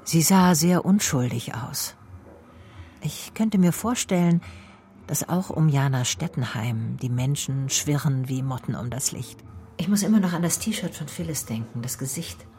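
Casting: female private eye for radio crime drama, low voice, measured, wry, probing. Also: animated, warm tone. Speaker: lead actress and narrator. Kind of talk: first-person radio detective drama.

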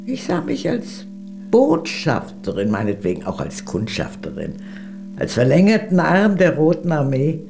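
An actress playing a proud, worldly, aristocratic old former Soviet spy in a German radio drama, with a grand, teasing, theatrical manner. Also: female voice, deep voice, sing-song, a little slow, creaky, warm tone, soft background music, heavily acted. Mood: amused pride.

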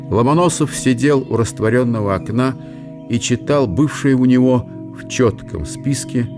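Male actor as grave narrator for historical audio drama; somewhat deep voice, measured literary delivery, music behind. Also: natural voice. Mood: somber. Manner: measured, solemn. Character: sober storyteller of a historical tale.

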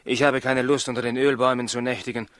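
An actor playing a weary, impatient traveler in a 1960s radio drama. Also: male voice, medium pitch, little pitch movement, fast, fairly bright tone, no echo, lightly acted. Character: weary, impatient traveler seeking a newborn king. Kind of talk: 1960s German radio play acting.

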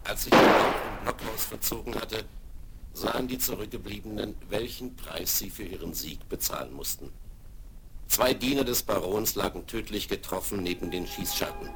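A man narrating a 1970s radio play for children in a measured, even way, from an old vinyl record. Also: lowish voice, noisy background. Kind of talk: scripted storybook narration.

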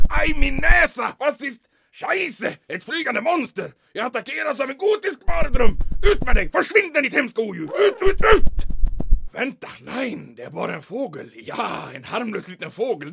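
A man playing a panicky, blustering German army major with a German accent and gravelly voice in a comic audio drama. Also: very high-pitched, very animated, sharp tone, slight background noise, exaggerated voice. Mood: panicked outrage. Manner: melodramatic, exclamatory, sprinkling in German words.

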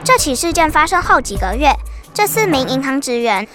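A woman co-hosting a podcast, reading background facts in a lively, informative way. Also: sits high, brisk. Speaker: podcast co-host. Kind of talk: scripted explanatory narration. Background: background music.

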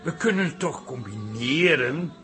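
A man's voice: medium pitch, animated, unhurried, sharp tone, soft background music. A man playing a henpecked barkeeper husband in a radio play, hesitant, defensive and pleading. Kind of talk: Dutch radio play acting.

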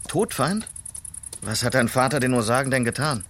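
A man's voice: medium pitch, animated, moderate pace, a bit bright, slight background noise, natural voice. An actor playing a bold, inquisitive lawman in an Old West radio drama, persistent in his questioning. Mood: curious, challenging. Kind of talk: western radio drama acting.

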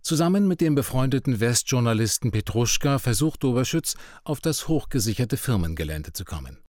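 A man reading a scripted radio drama recap as announcer, in a measured, factual manner. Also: mid-range voice, sing-song, darkish tone, dry recording, clean studio sound.

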